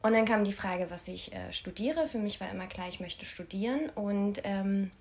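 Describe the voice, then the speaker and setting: Podcast guest: young adult woman from Berlin, medium-pitched voice, conversational and open storytelling. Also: normal speed. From Berlin, Germany. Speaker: podcast guest, influencer marketer. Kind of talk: casual conversational interview.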